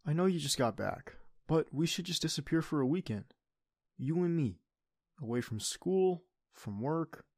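A man playing an affectionate, playful teenage boyfriend in an intimate, soft-spoken ASMR roleplay. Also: fairly high pitch, lively intonation, normal speed, dry recording, studio quality. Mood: playful, coaxing.

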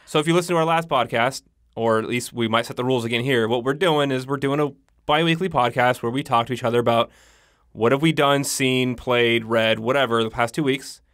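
A man's voice: medium pitch, brisk, fairly bright tone, no room sound, clean studio sound. A man in his twenties co-hosting a casual podcast, sounding tired and teasing his partner. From California. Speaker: podcast co-host.

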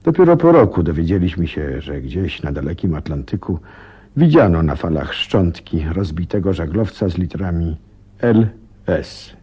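The detective's loyal sidekick in a radio play, mellow low voice, curious, kinda reflective. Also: male voice, big pitch swings, moderate pace, husky, exaggerated voice. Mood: reflective, solemn. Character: detective's loyal, curious male companion, plain-spoken and reflective. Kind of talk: Polish radio drama acting and narration.

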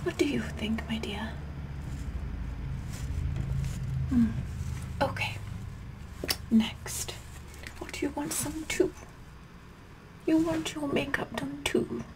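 A woman playing a sassy, vain, bossy queen in an ASMR roleplay, half-whispering in a pompous, deliberate manner. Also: high voice, pitched above the performer's own voice, low-quality recording. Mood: haughty, condescending. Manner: pompous, deliberate, repeats words softly. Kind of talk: ASMR roleplay makeup tutorial, whispered and soft-spoken.

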